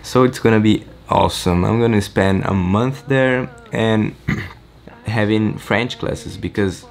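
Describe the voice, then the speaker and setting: A teenage boy from Brazil, Portuguese native, chatting excitedly in a casual vlog update from his small bedroom, rambling and restarting often. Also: on the low side, takes it slowly, creaky. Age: teens. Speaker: vlogger. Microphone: camera-mounted shotgun mic.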